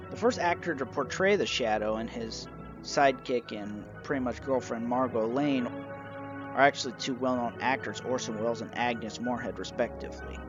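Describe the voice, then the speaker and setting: A man presenting a collection review in a measured, semi-scripted narration, moving through it item by item. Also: mid-range voice, dry recording. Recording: dull, lo-fi audio with music behind.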